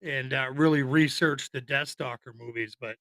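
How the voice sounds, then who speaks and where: A man's voice: mid-range voice, fairly even intonation, normal speed, fairly bright tone, no echo. A man co-hosting a podcast, talking casually about films in a rambling, informal and opinionated way. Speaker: podcast co-host. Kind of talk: casual film chat.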